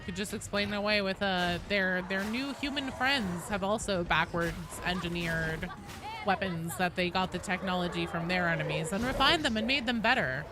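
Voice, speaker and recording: A woman streaming a game and chatting casually with viewers in a rambling, sweary, animated way. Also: on the low side, moderate pace, over music. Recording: clearly noisy background.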